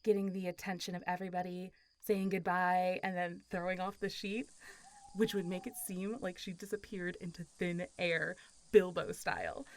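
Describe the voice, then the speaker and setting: A woman's voice: lowish voice, level tone, normal speed, dry recording, natural voice. Playful, teasing zombie girl, acted by a woman. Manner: casual, playful, teasing, often laughing and sighing. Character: friendly, teasing centuries-old zombie girl. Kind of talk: intimate roleplay audio drama.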